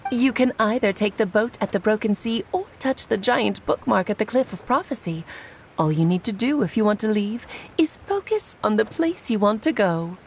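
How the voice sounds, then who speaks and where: Woman as a playful, animated mysterious witch. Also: mid-range voice, normal speed, a little hiss or hum, close to an everyday voice. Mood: teasing. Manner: teasing, poised, theatrical. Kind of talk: video game character acting.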